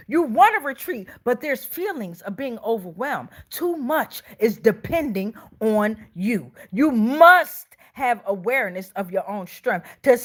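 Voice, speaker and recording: High-voiced, blunt American woman reading tarot. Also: a bit bright. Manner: blunt, foul-mouthed, emphatic; checks in with listeners with repeated tag questions. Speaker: host and tarot reader.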